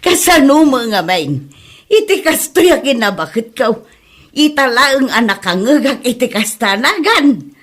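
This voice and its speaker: A woman's voice: sits high, big pitch swings, a little slow, a bit bright, heavily acted. A woman with an Ilocano Filipino accent playing a mocking, giggly mother and auntie in a comedy drama, exclaiming and laughing through her words. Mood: mocking amusement.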